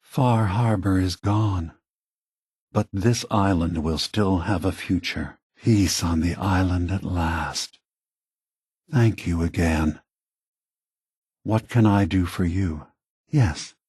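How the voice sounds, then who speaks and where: An actor playing a calm, grave synthetic man, a weary scheming leader, with a husky, measured, deliberate delivery for a video game. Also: low-pitched, no room sound, studio quality, exaggerated voice. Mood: solemn.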